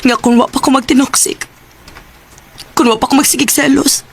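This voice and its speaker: A young woman in love in a radio drama. Her voice sits high, and she speaks as a gentle, understanding heroine who carries some regret. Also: fairly even intonation, moderate pace, creaky, husky, full character voice. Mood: regretful. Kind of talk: Cebuano radio drama acting.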